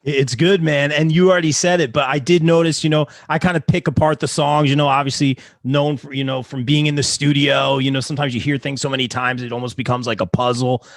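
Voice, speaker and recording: A man's voice: medium pitch, little pitch movement, fairly quick, few pauses, dry recording, studio quality. A male host in a casual interview, enthusiastic and hyped, calling his guest "man".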